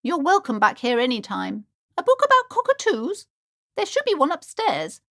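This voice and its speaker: A woman's voice: high-pitched, a bit bright, no room sound, studio quality, heavily acted. An actress playing a superstitious, pretentious, anxious woman who runs a bookshop, speaking in a haughty, melodramatic, affectedly genteel way for a video game. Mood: composed, brisk. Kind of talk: theatrical game dialogue.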